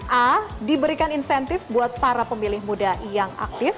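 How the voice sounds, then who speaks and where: A woman hosting a television talk show in a bright, high voice. She keeps things upbeat and moves the guests along with quick prompting questions. Music plays clearly underneath her. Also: expressive.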